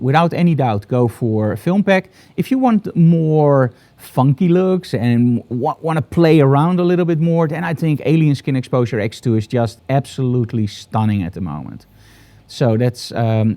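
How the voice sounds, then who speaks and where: A man's voice: mid-range voice, mellow. A middle-aged man with a Dutch accent, hosting a live photography broadcast from his studio in an upbeat, enthusiastic, promotional way. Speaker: photography host.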